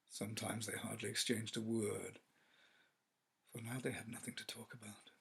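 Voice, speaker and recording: A man reading a classic story aloud as an actor, measured and theatrical, letting phrases fall to a hush. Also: mid-range voice, breathy edge. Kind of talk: dramatic literary narration.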